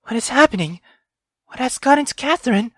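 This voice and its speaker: Man voicing an insistent, high-pitched, protective young woman. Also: expressive, fairly quick, sharp tone, no room sound, exaggerated voice. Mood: alarmed. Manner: insistent, accusing. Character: protective, indignant young woman, loyal and confrontational. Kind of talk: visual novel character voicing.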